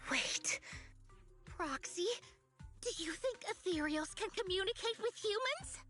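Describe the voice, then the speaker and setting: A woman voicing an excitable, eager young woman researcher obsessed with monsters in a video game. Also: very high voice, slow, faint music behind, exaggerated voice. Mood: excited, musing. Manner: animated, eager, dramatic.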